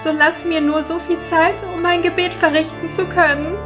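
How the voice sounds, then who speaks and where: A woman's voice: very high-pitched, flat delivery, normal speed, over music, pitched above the performer's own voice. A woman voicing a frightened young wife in a fairy tale, anxious, pleading and dramatic. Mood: tearful pleading.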